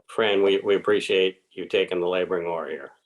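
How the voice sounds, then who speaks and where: A man's voice: sits low, fairly even intonation, fairly quick, rough recording. A man on a committee, speaking with stammered repeats at a meeting. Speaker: committee member. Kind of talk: meeting discussion. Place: public meeting room.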